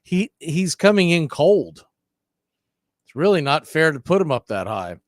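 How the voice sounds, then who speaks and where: Male play-by-play announcer, slightly higher voice, blunt, opinionated, plain fan-radio caller. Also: big pitch swings, a little slow, no echo, studio quality. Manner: opinionated, blunt asides between pitches. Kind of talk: fan-stream baseball play-by-play commentary.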